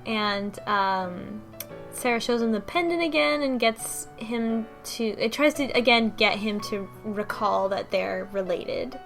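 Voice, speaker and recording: A woman's voice: mid-range voice, measured pace, creaky, fairly bright tone, soft background music. A woman podcast host casually recapping a story in a loose, conversational way, as if thinking aloud. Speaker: book club podcast host.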